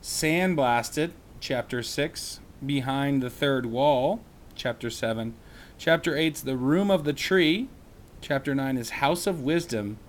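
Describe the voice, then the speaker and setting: A man who teaches reads a children's chapter book aloud in a slow, expressive way, with small slips. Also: medium pitch, a little hiss or hum.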